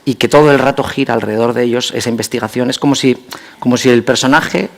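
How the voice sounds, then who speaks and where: A man's voice: mid-range voice, fairly even intonation, quick pace, vocal fry. A man conducting a conversational literary interview, circling hesitantly around his questions.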